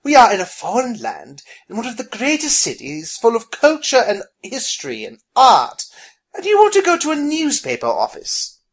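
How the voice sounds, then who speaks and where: A narrator voicing an eager, sharp-tongued lady in a storybook, haughty and emphatic. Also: medium pitch, big pitch swings, measured pace, husky, voice pushed up. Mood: exasperated.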